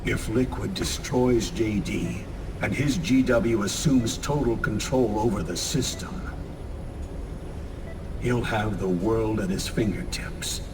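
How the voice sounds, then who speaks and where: A grave older military commander in a game. His low voice moves slowly and solemnly, set slightly away from the mic amid rumbling vehicle noise. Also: in a large hall, far from the mic. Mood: grave urgency. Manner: measured, solemn briefing. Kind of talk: dramatic video game cutscene acting.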